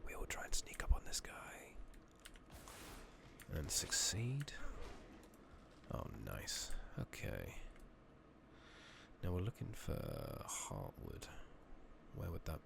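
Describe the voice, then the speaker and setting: A man doing soft-spoken, partly whispered ASMR commentary over a video game, rambling casually with mild swearing, on a noisy makeshift microphone. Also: deep voice, huge pitch swings, slow, pauses often. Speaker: game streamer playing an online fantasy game. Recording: noisy makeshift microphone, clear background noise.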